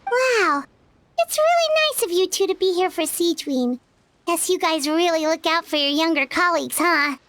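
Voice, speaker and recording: An actress voicing a small, eager female companion creature that talks chirpily and apologizes quickly. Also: very high voice, lively intonation, a bit bright, dry recording. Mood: chirpy.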